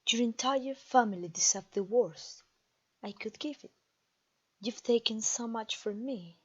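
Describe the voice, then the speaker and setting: A woman voicing an imperious, vengeful vampire queen in a fantasy audio roleplay, taunting a captive with theatrical, deliberate pauses. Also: on the high side, husky, dull audio. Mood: brooding, bitter. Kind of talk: scripted ASMR fantasy roleplay audio drama.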